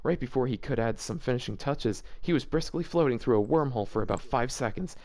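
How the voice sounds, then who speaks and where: A man's voice: mid-range voice, expressive, brisk, darkish tone, dry recording. A man hosting a casual read-aloud of fan fiction, rambling and breaking off into joking asides. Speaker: host reading fanfiction aloud for a video series.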